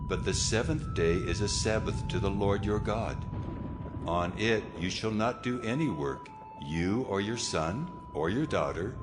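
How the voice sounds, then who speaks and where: Casting: a commanding deity in scripture drama, deep voice, grave and deliberate, over prominent music. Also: male voice. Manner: solemn, measured, authoritative. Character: God, solemn commanding male deity giving laws. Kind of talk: dramatized scripture audio acting. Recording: music under the voice.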